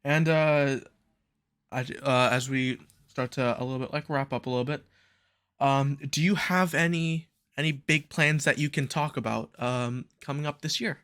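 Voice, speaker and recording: A man hosting a casual interview, asking questions in a halting way with fillers. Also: mid-range voice, fairly bright tone, clean tone. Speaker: podcast host.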